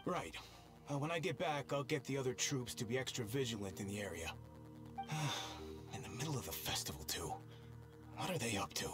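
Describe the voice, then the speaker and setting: A man's voice: medium pitch, lively intonation, moderate pace, over music, natural voice. A man voicing a weary festival guard. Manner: tired, earnest, sighing. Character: weary male security officer guarding a festival. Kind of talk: game cutscene dub.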